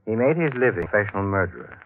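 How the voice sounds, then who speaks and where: Deep, sardonic painter, old radio. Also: male voice, very animated. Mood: wry, ominous. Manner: deliberate, theatrical, wry. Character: worldly, sardonic, enigmatic male bohemian painter. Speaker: supporting actor. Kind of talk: 1940s radio acting. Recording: muffled, noisy 1940s radio recording.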